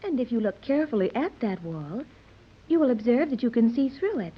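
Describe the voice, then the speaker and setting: A woman's voice: fairly high pitch, lively intonation, brisk, slightly rough. An actress playing an earnest, literal-minded young island woman who speaks formally, in 1930s radio serial style. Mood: solemn warning. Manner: formal, careful diction without contractions. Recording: dull old 1930s radio recording.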